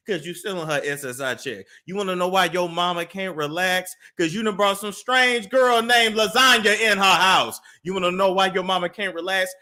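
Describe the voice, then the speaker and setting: A young man with a high, bright voice hosts a gossip commentary over video clips. He mocks what he sees with quick jokes and laughing asides. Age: young adult. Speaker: commentary host.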